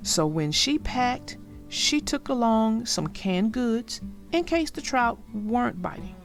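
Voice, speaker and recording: A woman narrating a children's storybook in an expressive, deliberate read-aloud style. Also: on the high side, vocal fry. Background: music.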